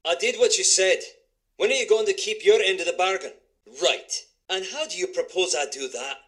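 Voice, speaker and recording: Game role, a secretive reluctant informant: high, bright voice, edgy and terse. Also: male voice, moderate pace. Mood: guilty, defiant. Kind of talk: video game voice acting.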